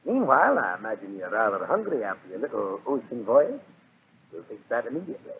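An actor playing a suave, sinister island ruler in a 1940s radio drama, smugly polite and urbane. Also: male voice, fairly low pitch, sing-song, fairly quick. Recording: tinny, faintly noisy 1940s radio recording.

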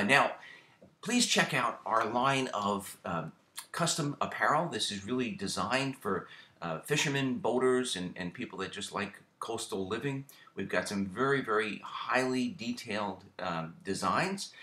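A middle-aged man hosting a fishing channel, explaining a how-to in a relaxed, casual way with lots of ums and repeated words. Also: fairly low pitch, reverberant.

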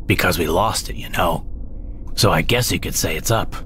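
A woman voicing an awkward, rambling male space hero in a comedy sci-fi audio drama, husky, hesitant and deadpan. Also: a bass voice, moderate pace, a little hiss or hum, pitched below the performer's own voice.

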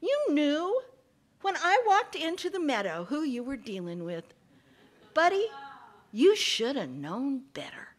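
A cunning fox, smug and sure of himself, as a woman storyteller puts him on. He plays the fool, loud and rising, before turning cool. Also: sits high, huge pitch swings, unhurried, a little hiss or hum, pitched above the performer's own voice, heavily acted. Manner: feigns confusion, then coolly scolds. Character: smug sly male fox, calm and cunning.

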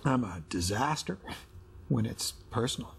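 Middle-aged male counselling client, raspy with vocal fry, reflective, halting conversational delivery. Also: medium pitch, animated. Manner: halting, repeats words as he searches. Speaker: husband in couples counselling. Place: indoor studio set with armchairs.

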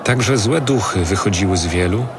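A man narrating the Gospel in a measured, calm scripted reading. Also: lowish voice, heavy background noise.

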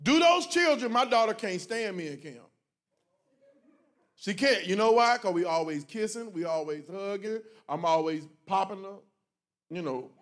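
A middle-aged pastor with a strikingly high voice for a man, speaking to his church from the stage on a handheld mic. He talks in a joking, preachy, animated way, punching lines with loud bursts. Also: normal speed. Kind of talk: preachy conversational talk to a congregation. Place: church stage before a congregation, indoors.

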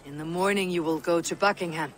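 An actor playing a stern Viking war leader, blunt and commanding, in a video game cutscene. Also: male voice, a little high, fast talker, fairly bright tone, slight background noise, lightly acted.

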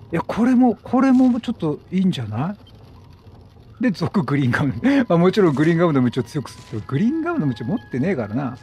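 Animated higher-voiced gamer man, husky edge. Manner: animated, talkative, exclaims and talks back to the game. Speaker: gaming YouTuber. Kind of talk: chatty video game let's play commentary. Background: game music.

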